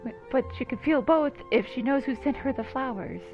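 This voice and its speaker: A woman's voice: sits high, a little fast, narrow, tinny audio. A woman giving casual, playful and informal reactive commentary while playing a video game. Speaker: gamer commentating on a playthrough. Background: game music.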